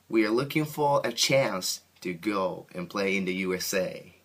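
A man from Japan with a Japanese accent, a band musician answering interview questions in simple, halting second-language phrasing. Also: low-pitched, expressive.

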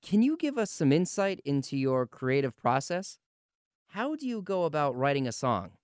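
Lively, smooth-voiced male interviewer. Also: fairly high pitch, moderate pace, no echo, studio quality. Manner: polite, courteous. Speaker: radio show interviewer. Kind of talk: prepared interview questions.

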